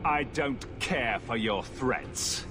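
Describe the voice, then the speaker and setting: An actor playing a resolute, protective adventurer in a video game, speaking bluntly and with determination. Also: male voice, medium pitch, animated, takes it slowly, music in the background. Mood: defiant. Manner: direct, urgent, determined. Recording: noisy game ambience.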